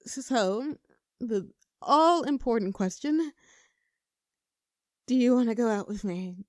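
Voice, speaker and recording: A woman's voice: on the high side, lively intonation, slow pace, a little mellow, no echo. Actress as playful, flustered young woman, close and dry. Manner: playful, teasing, earnest, slightly flustered. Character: nervous, playful young woman confessing love to best friend. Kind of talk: intimate scripted audio roleplay, conversational.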